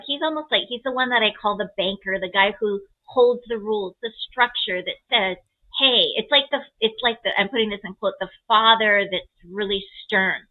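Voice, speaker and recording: A woman speaking as an astrologer, explaining a chart reading conversationally in a gentle, encouraging way with simple analogies. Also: fairly high pitch, narrow, tinny audio.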